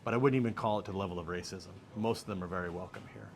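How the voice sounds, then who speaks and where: A middle-aged American man giving relaxed, joking answers as an interview guest. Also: low-pitched, rapid-fire, creaky. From United States. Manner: relaxed, joking, laughs easily. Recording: faint background noise.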